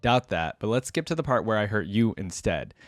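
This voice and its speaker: A man's voice: fairly low pitch, lively intonation, a little fast, dry recording, studio quality, close to an everyday voice. Man reading as brash young vigilante woman. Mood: cocky. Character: bold young female vigilante, cocky and kind-hearted. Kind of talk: story read-aloud dialogue.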